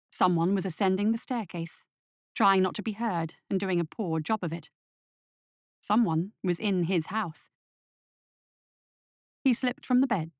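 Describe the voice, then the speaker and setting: A woman's voice: medium pitch, no echo. Dry, close-sounding woman narrating horror. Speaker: story narrator. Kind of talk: scripted horror audiobook narration.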